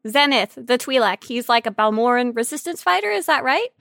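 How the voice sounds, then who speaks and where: A woman with a highish, bright voice chats casually as a podcast co-host. She is playful and jokey, and the sound is close and dry. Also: lively intonation, a little fast, vocal fry, dry recording. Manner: silly, joking.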